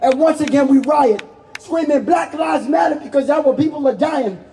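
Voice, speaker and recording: A man's voice: very high-pitched, normal speed, mellow, slight background noise. A man performing spoken-word protest poetry in an impassioned, emphatic way, repeating key lines for effect. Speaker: spoken-word poet and activist speaker.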